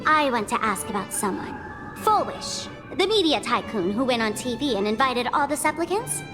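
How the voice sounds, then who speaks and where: A woman's voice: a little high, big pitch swings, normal speed. A woman voicing a mischievous young female trickster in a game, speaking in a teasing, playful, theatrical manner. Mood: scheming. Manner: teasing, playful, sing-song. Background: game music.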